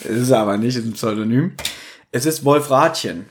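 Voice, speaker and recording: A man's voice: medium pitch, normal speed. A man co-hosting a podcast, chatting casually and animatedly as he reviews audio dramas. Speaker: podcast co-host.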